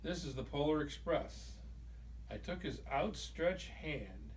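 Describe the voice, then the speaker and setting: A man's voice: fairly high pitch, lively intonation, slow pace. A man reading a children's picture book aloud to family in an informal, amateur way. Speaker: amateur storybook reader. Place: room with listeners, mic at a distance. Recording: distant microphone, noisy room.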